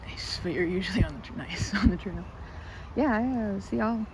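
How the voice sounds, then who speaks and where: A woman's voice: medium pitch, moderate pace, darkish tone, slight background noise, natural voice. A woman playing a timid, sweet, rambling young woman in an ASMR roleplay, speaking to the listener with shy, hesitant stammers. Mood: nervous, friendly.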